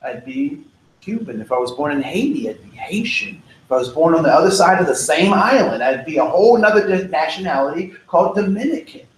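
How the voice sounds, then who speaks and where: A man with a fairly high voice leads a diversity workshop in a loose, conversational way. He wanders off on tangents, fills gaps with "um", and keeps checking in with "right?". The sound is echoey, with faint room noise behind him. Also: very animated, brisk. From United States. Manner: casual, digressive, frequent "right?" and "um". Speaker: diversity trainer. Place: training room.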